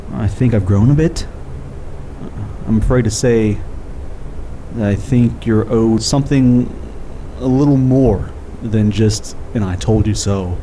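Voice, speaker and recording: A man acting in an audio drama as a guilt-ridden, defensive skeptic, with halting, hesitant delivery and dry sarcasm. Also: lowish voice, dark tone, a little hiss or hum, natural voice, poor audio.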